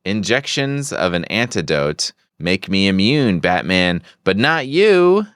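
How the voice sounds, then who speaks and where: A man's voice: low voice, big pitch swings, slow pace, fairly bright tone, clear, resonant tone, dry recording, clean studio sound, heavily acted. A man voicing a maniacal, boastful comic-book villain in a theatrical, exclaiming manner. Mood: gloating.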